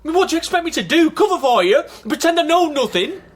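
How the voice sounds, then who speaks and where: A man's voice: very high voice, big pitch swings, fast talker, noisy background. An actor with a British accent playing a hurt grown son in a radio drama, pressing his father with emotional questions. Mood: exasperated.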